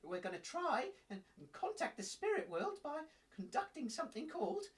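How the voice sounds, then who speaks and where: A woman's voice: high voice, sing-song, normal speed, distant mic. A woman playing a naive, nervous radio reporter commentating to listeners in a comic audio drama. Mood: nervously excited.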